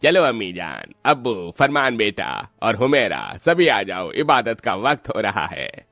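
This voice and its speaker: A man's voice: fairly low pitch, big pitch swings, unhurried, pushed and bright, no room sound, faint music behind, full character voice. A man playing a commanding ghost, head of a haunted family in a cartoon horror story. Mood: hopeful, earnest.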